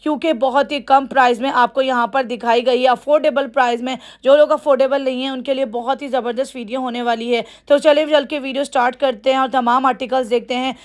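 A woman with a Karachi Urdu accent touring a clothing shop as a shopping vlogger, excitedly repeating prices and praise. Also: high voice, fast talker, hardly any pauses. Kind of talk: upbeat shop-tour vlog. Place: busy clothing shop indoors.

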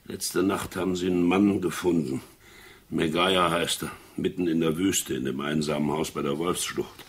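An actor playing a jovial, wryly needling cigar-smoking boss in a crime radio drama. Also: male voice, deep voice, fairly even intonation, moderate pace, breathy edge. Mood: wry teasing.

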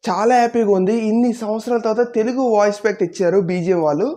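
A woman's voice: medium pitch, racing, dark tone. A woman hosting a gaming video with casual commentary to viewers.

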